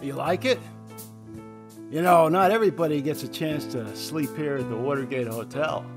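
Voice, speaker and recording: An actor playing a smug, evasive, adulterous CIA agent in a radio play, stammering at times. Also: male voice, on the high side, moderate pace, natural voice. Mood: smug, flirtatious. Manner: flirtatious, hesitant and stammering. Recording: radio drama with music underneath.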